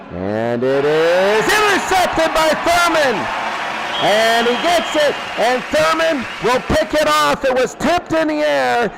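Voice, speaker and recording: High-pitched man calling football play-by-play. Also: background noise. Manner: repeats phrases and draws out calls. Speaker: sports commentator. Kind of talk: excitable play-by-play commentary of a football game.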